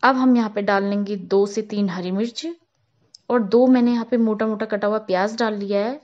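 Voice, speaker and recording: A woman hosting a cooking channel, calmly talking viewers through a recipe step by step in a voice-over. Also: medium pitch, moderate pace, lo-fi sound. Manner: calm, step-by-step instructional. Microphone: voice-over, not on camera.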